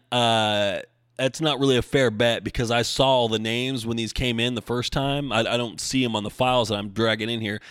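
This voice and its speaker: A man's voice: mid-range voice, a bit bright, no room sound. A man hosting a casual solo podcast, rambling and correcting himself with dry humor as he answers listeners. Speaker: podcast host and bodybuilding coach.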